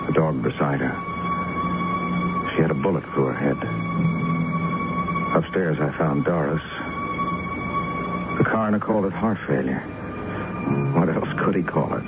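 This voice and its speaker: A lonely weary widower, dry and wry, very deep voice, lo-fi radio play. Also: male voice, measured pace, long pauses. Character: weary lonely widower narrating his tale. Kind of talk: 1970s radio drama narration. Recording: dull old radio broadcast with music.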